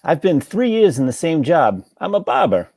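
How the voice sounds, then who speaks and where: Guy doing a thin sickly barber in a play reading, husky, dry delivery, lively pitch. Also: moderate pace, no echo. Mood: earnest, stubborn. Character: thin, sickly, timid but stubborn young barber, man. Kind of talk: play table read.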